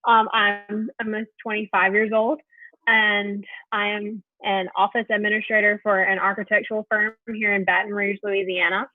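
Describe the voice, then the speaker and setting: A woman in her mid twenties introducing herself in a brisk, upbeat way. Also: medium pitch, level tone, no echo. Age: mid 20s. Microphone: video call. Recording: tinny phone-like line.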